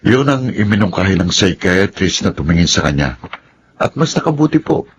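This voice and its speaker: An actor in a Filipino radio drama playing a worried, devoted husband and respectful son. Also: male voice, deep voice, sing-song, normal speed, slight background noise. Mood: polite, earnest.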